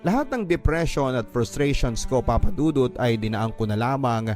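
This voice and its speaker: A man narrates a listener's confession letter in the first person, earnest and heartfelt. His voice sits at an ordinary middle pitch, and soft music plays under the reading. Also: animated, normal speed. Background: faint background music.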